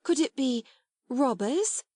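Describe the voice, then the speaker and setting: A woman's voice: a little high, expressive, normal speed, darkish tone, dry recording, studio quality, pitched above the performer's own voice, close to an everyday voice. A woman voicing a timid, admiring young schoolgirl who speaks hesitantly. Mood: frightened. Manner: hesitant, easily startled.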